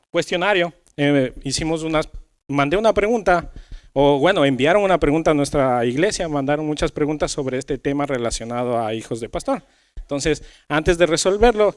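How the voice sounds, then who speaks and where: Clear, higher-pitched male podcast host. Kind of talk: conversational church youth podcast hosting.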